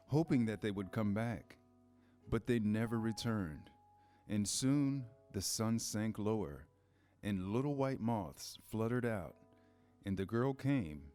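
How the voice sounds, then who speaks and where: Guy reading a storybook, lowish voice, measured pauses, faint guitar behind. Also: dark tone. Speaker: narrator. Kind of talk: scripted storybook narration. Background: music, guitar.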